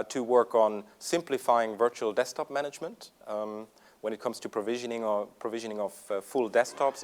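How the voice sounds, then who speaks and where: Man, German accent, conference talk, frequent um and uh, mid-pitched voice. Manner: frequent ums and uhs, conversational presenter. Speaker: conference speaker. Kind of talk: conference presentation. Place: conference room.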